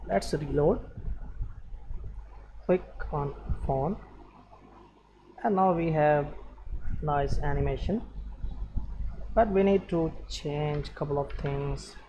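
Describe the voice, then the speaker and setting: A man presenting an unscripted screen-share programming tutorial, giving halting step-by-step instructions in short phrases. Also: fairly high pitch, dark tone, a little hiss or hum, rough recording.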